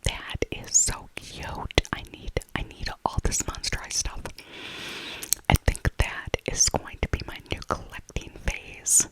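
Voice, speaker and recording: A woman's voice: a little slow, rough recording. Whispering woman, ASMR voiceover. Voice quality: breathy unvoiced whisper. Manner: chatty, repetitive, addresses the listener directly. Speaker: ASMR creator.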